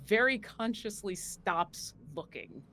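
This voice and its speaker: Woman, tabletop player, bright sharp tone, mid pitch, casual in-game table talk. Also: animated, slow pace, slight background noise.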